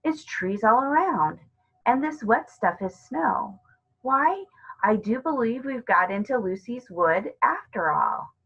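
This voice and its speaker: A woman's voice: on the high side, animated, takes it slowly, fairly bright tone, slightly rough, lo-fi sound, voice pushed up, full character voice. A woman voicing a hearty, old-fashioned eldest schoolboy with an eager streak in a storybook reading. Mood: excited. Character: decent, bossy eldest schoolboy brother, eager adventurer.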